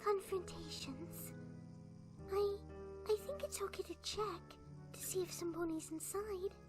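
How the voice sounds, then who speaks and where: A woman voicing a timid, gentle and anxious young female pony in an animated fan drama, soft-spoken, hesitant and apologetic. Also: extremely high pitch, unhurried. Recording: music under the voice. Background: music.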